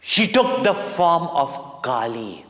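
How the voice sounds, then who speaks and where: Indian guy telling kids a spiritual story, slow and theatrical, lots of pauses, big echoey reverb. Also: medium pitch, sing-song, fairly bright tone. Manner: deliberate, theatrical, with dramatic pauses and emphasis. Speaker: spiritual teacher telling stories to children. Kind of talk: dramatic devotional storytelling for children. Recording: long echoing hall reverb.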